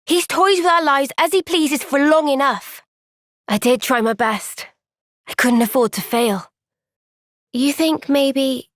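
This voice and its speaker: A gentle, thoughtful young woman soldier from a video game cutscene. She speaks softly and earnestly, often sighing, in a fairly high voice. The sound is close and dry. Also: dry recording. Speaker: voice actor.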